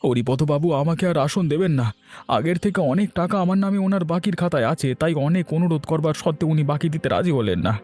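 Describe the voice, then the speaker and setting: A man's voice: fairly high pitch, expressive, fast talker, darkish tone, natural voice. A man playing a henpecked, exasperated husband in a cartoon, complaining and muttering. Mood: grumbling.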